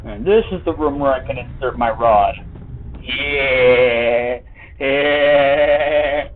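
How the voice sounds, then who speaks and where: Male game streamer: casual, offhand, playful self-talk, medium pitch, tinny band-limited sound. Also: expressive, a little fast. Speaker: live streamer. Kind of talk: casual game playthrough commentary. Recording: tinny narrow-band stream audio, faint noise.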